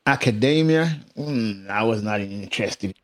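A middle-aged English musician with a British accent touched by Jamaican Caribbean tones, telling stories casually in an interview and laughing often. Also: male voice, lowish voice, measured pace. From England. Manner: laughs often, animated anecdotes. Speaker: musician guest.

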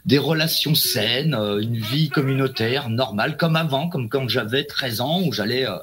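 A man's voice: medium pitch, moderate pace, a bit bright, slight background noise. A man in his forties talking casually on a live talk show, rambling and hesitant, with plenty of slang. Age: 40s. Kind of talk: casual chat.

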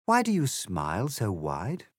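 A prim, well-mannered young girl, curious and a little fretful, speaking in genteel, earnest tones, as a male narrator puts her on. Her voice lifts high and lilting in a storybook parody, recorded dry and close. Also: huge pitch swings, a little slow, no echo, full character voice.

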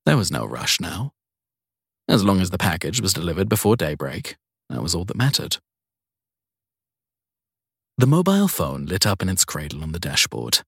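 A man's voice: sits low, sing-song, normal speed, creaky, pauses often, fairly bright tone, husky, dry recording, studio quality. A man reading a crime story as a scripted audiobook narration.

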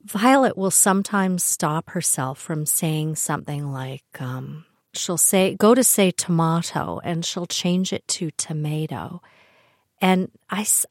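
An older Canadian woman chats through family stories in a wry, animated way, her voice sitting fairly low. The sound is dry and close, with no room echo. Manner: chatty, wry, animated asides. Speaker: podcast host. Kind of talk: personal storytelling monologue.

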